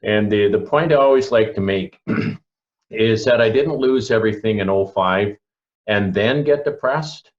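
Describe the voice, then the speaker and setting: Man in his 60s, Canadian accent, low-pitched, reflective, deliberate, personal testimony, dull recording. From rural Alberta, Canada. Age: early 60s. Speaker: panelist, local pastor.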